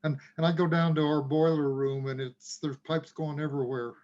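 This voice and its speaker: A man's voice: on the high side, fairly even intonation, moderate pace, a little mellow, dull audio. A man on a video call makes an earnest point, laughing at himself. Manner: earnest, with self-deprecating laughs. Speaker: webinar participant. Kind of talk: casual comment.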